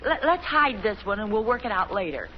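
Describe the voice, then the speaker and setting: An actress playing a gracious suburban wife and mother in a 1950s radio sitcom, polite, soothing and gently teasing. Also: mid-range voice, very animated, a little fast, natural voice. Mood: tactful. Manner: polite, soothing, dryly witty. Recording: muffled, very noisy 1950s radio recording.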